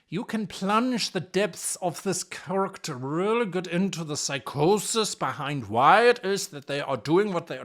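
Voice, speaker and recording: A man's voice: sits high, bright, forceful tone. A man presenting tabletop role-playing game advice in a theatrical, playful manner with sarcastic asides. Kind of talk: animated explainer on tabletop role-playing games.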